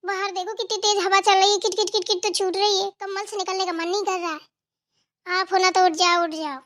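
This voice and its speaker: A woman's voice: very high voice, level tone, brisk, fairly bright tone. A woman voicing a whiny, stubborn young daughter-in-law in a family cartoon, full of fussy, snippy back-talk. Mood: sleepy, irritated. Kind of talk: amateur Hindi family cartoon dialogue.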